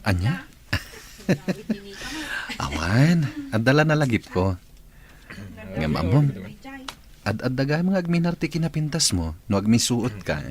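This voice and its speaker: A man's voice: medium pitch, huge pitch swings, slow, pauses often. An actor playing a conflicted, apologetic young man in a radio drama, with crowd noise behind him. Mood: flustered. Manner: hesitant, apologetic. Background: crowd murmur.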